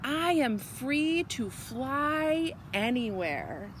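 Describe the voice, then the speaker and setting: A woman voicing a carefree, independent male butterfly in a children's storybook, airy and self-assured with drawn-out phrases. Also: sits high, very animated, fairly bright tone, slight background noise, full character voice. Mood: breezy, self-assured. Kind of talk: children's storybook read-aloud.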